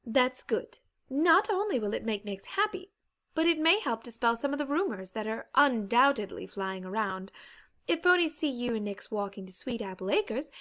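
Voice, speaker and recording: A woman's voice: on the high side, fairly bright tone. A woman voicing a refined, caring, insistent female pony with a genteel, theatrical manner in an audiobook. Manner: genteel, polite, theatrical phrasing. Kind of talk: dramatized audiobook character acting.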